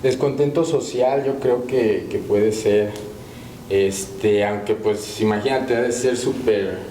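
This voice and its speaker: A panelist feels his way through his answer, pausing and filling gaps as he goes. His voice is mellow and relaxed. The studio adds echo, with a faint hiss behind. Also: lowish voice. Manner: hesitant, many fillers. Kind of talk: debate answer. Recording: echoey studio with faint noise.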